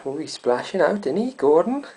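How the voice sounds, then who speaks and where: A woman's voice: sits low, very animated, moderate pace, a crowd in the background. A woman, chatting casually and asking questions. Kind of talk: casual chat.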